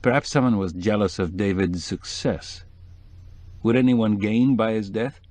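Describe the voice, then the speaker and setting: A reserved, wry middle-aged journalist, voiced by a male narrator with a low, measured voice. He stays even and dry even when he is pressed. The recording is close and dry. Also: no room sound, close to an everyday voice.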